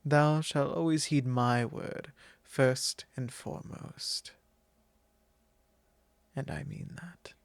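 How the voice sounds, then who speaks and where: Man playing a measured, haughty vampire lord, close and dry. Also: mid-range voice, steady tone, vocal fry, no room sound. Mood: possessive, stern. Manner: measured, formal, haughty, pausing often. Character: possessive, genteel male vampire lord, commanding and self-assured. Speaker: ASMR roleplay performer. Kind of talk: ASMR roleplay monologue addressed to the listener.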